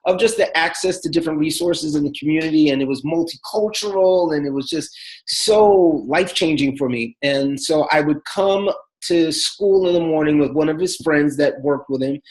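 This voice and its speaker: A man, a pastor telling his life story in a relaxed, chatty way. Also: a little high, moderate pace, poor audio. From the Bronx, New York City. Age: 40s. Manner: relaxed and chatty, many fillers, laughs between thoughts. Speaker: pastor, guest. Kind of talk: casual personal storytelling.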